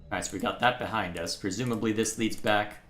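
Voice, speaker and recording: A man's voice: fairly low pitch, fairly even intonation, brisk, reverberant, over loud music. A man from Texas hosting a live game stream, thinking aloud in a casual, musing way. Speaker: livestream host playing a game. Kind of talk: casual live gameplay commentary.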